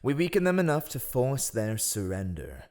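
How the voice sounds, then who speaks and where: A man's voice: medium pitch, wildly swooping pitch, moderate pace, a little mellow. A man acting a cunning, ruthless royal spymaster in a fantasy audio roleplay, with a sly, mocking, theatrically deferential manner. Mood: sly, persuasive.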